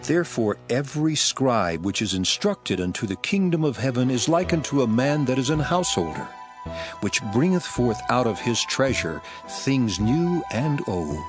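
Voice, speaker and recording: A man playing a solemn, authoritative holy teacher in a dramatized audio Bible, telling parables in a measured, emphatic manner. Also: mid-range voice, very animated, natural voice. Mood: solemn, impassioned. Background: music.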